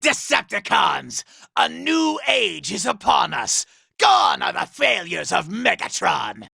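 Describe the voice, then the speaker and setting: Screechy gravelly evil robot commander from a game, super vain and petulant, really piercing and swoopy. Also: male voice, sits high, wildly swooping pitch, slow, pushed and bright, no room sound, exaggerated voice. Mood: grandiose. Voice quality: raspy, gravelly. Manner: imperious, theatrical, petulant. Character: scheming, vain, power-hungry robot commander, male villain. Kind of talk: video game villain voice acting.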